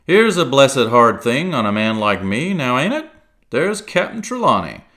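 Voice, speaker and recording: A man's voice: mid-range voice, very animated, a little slow, bright, forceful tone, pitched above the performer's own voice, full character voice. A man reading aloud and voicing a cunning, one-legged pirate cook who blusters in salty sea talk. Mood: wheedling.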